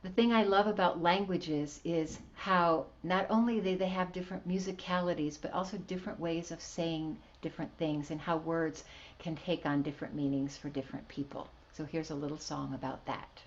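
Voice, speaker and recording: A woman's voice: low voice, steady tone, normal speed, a little hiss or hum. Reflective woman, first language German. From United States. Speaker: singer-songwriter. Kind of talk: introducing a song at a concert. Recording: dull, muffled sound over loud music.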